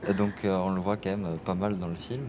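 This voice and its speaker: Deep-voiced hesitant interviewer, noisy room. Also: male voice, level tone, fast talker. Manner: hesitant, polite questioning. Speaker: citizen reporter interviewer. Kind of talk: interview questions.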